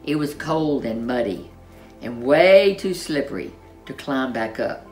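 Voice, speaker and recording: A woman narrating a children's story in an expressive, dramatic storyteller's manner. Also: rumbling low, unhurried, soft background music.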